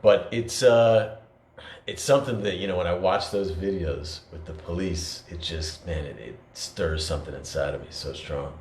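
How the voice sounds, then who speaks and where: A deep-voiced man talks things through in an earnest, halting way, full of fillers and restarts. His voice echoes around the room, with a faint hiss underneath. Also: fairly even intonation, a little mellow. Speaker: podcast co-host. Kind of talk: reflective conversational talk.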